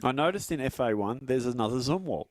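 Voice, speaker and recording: A man from Australia doing casual studio commentary for a live stream, chatty and quick to laugh, often stumbling over his words. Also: mid-range voice, animated, noisy background.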